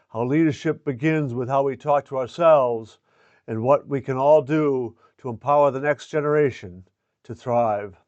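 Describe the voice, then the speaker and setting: An older man speaking as a show host and coach, with an earnest, deliberate way of talking. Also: medium pitch, darkish tone, no room sound, muffled recording. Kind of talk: scripted promo and introduction, then casual interviewing.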